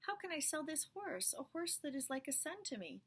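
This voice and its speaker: A woman voicing a gentle, humble male potter who pleads earnestly for his horse. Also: sits high, big pitch swings, moderate pace, pitched above the performer's own voice.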